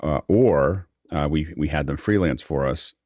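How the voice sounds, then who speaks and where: A man's voice: extremely low pitch, unhurried, darkish tone, dry recording, clean studio sound. A man hosting a casual panel discussion, giving rambling, anecdotal explanations as moderator. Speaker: host and moderator.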